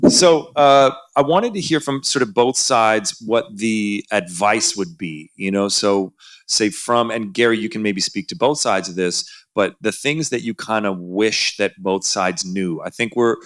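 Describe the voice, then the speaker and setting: A low-voiced man speaks at a conference panel. He is relaxed and casual as he puts questions to the others. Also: unhurried. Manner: relaxed, casual, checks the mic. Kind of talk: panel discussion.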